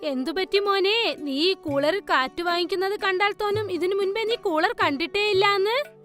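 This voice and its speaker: A woman voicing the mothers and girls in a cartoon story, speaking in a clear, coaxing way. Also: very high voice, moderate pace, a bit bright, faint music behind. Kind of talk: cartoon voice acting.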